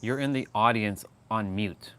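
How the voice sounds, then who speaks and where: A man's voice: lowish voice, unhurried, a bit bright, clean tone. A man hosting a casual livestream, rambling with many restarts and fillers and laughing often. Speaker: livestream host.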